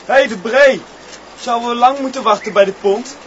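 A curt, jeering schoolboy in a radio play, with a high voice. He grumbles and mocks over clear outdoor noise on a slightly dull recording. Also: moderate pace, fairly bright tone. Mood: grumbling. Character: grumbling, mocking teenage schoolboy. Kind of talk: radio drama acting. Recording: dull, noisy outdoor-sounding scene.

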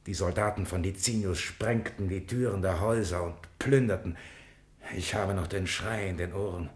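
An actor in a 1950s German radio drama playing a humble, shaken soldier who speaks gravely and deferentially, recorded with room echo. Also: male voice, low-pitched, normal speed, close to an everyday voice. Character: humble, shaken soldier reporting to his lord. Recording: roomy vintage radio studio recording.

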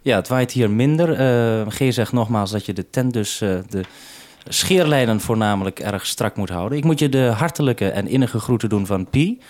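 A man's voice: mid-range voice, normal speed, a little mellow, slight background noise. A man talking unscripted as a radio host over a two-way link, businesslike and explanatory with some hesitation.

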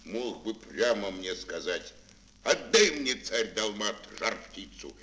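Imperious, very slow-spoken tsar. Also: male voice, fairly low pitch, sharp tone, full character voice, low-quality recording. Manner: imperious, very deliberate. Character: stern, haughty old-world fairy-tale tsar. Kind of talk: children's audio fairy-tale play. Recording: old mono record with faint hiss.

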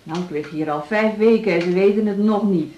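A woman's voice: medium pitch, fairly quick, warm tone, highs cut off, a little hiss or hum, lightly acted. An actress playing a lonely, plain-spoken older woman who is a hospital patient in a radio drama. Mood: wary, curious. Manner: plain-spoken, wheedling, folksy. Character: chatty, lonely, frail but cheeky older female hospital patient. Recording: roomy, echoing radio drama studio.

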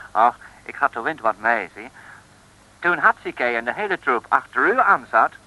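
Bright, chirpy English gentleman agent. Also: mid-range voice, animated, measured pace, full character voice, low-quality recording. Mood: pleased, confiding. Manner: courteous, exclamatory, mixes English into Dutch. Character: chirpy, polite English secret service agent. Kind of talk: 1960s radio serial acting. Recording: old radio recording.

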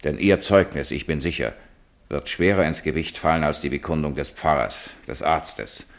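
A man's voice: very deep voice, moderate pace, vocal fry. An actor playing a humane male writer, eloquent and probing, in a 1950s German radio drama. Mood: determined, earnest. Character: Oliver Goldsmith, humane male writer challenging superstition.

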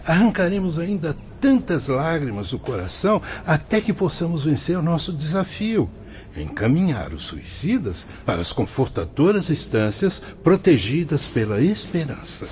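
A man acting as a wise, fatherly spirit guide in a radio drama, patient and solemn, explaining in formal, measured phrases. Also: a little high, sing-song, darkish tone, slight background noise. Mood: compassionate. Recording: dull lo-fi audio with music behind.

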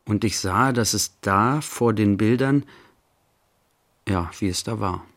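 An actor playing a pensive, serene man in a radio play, speaking a reflective literary monologue in halting fragments. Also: on the low side, fairly bright tone, exaggerated voice. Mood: reflective, quiet. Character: pensive man musing inwardly, mildly serene. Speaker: actor, narrator.

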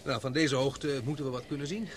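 A man's voice: mid-range voice, steady tone, brisk, lightly acted. An actor playing a man rescuing his trapped partner in a radio drama, urgent and protective. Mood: tender. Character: Paul, adult man caught in a gas-well disaster. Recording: loud background noise.

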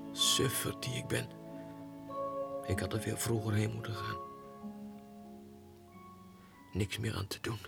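An actor playing an unhinged, excitable low clerk who mutters haltingly to himself in a 1960s radio monologue. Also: male voice, creaky. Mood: bitter regret.

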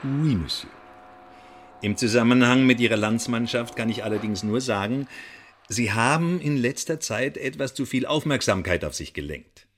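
An actor playing a suavely menacing French secret police agent in a German radio drama, speaking in a verbose, mock-polite way. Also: male voice, medium pitch, animated, moderate pace, natural voice. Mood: sardonic.

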